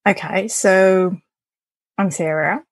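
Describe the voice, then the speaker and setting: A young woman with a French accent talks casually as an interview guest, often circling back and restarting her sentences between small laughs. Her pitch sits in the usual middle for a woman, and the recording is very dry, with no room sound at all. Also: takes it slowly, dry recording. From France. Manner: chatty, laughing, many restarts and fillers. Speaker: guest data scientist.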